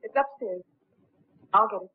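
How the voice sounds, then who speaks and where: An earnest young lady played by a woman in a 1930s crime drama. Her voice is of medium pitch and a little husky, carried on a narrow, tinny old film track. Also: moderate pace, lightly acted. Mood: alarmed. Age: young adult. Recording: thin, muffled 1930s film soundtrack.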